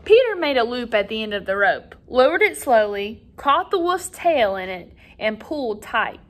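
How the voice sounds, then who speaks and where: A woman reading a children's story aloud as a teacher, with expressive, dramatic emphasis for young listeners. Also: fairly high pitch, moderate pace. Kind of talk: animated storybook read-aloud.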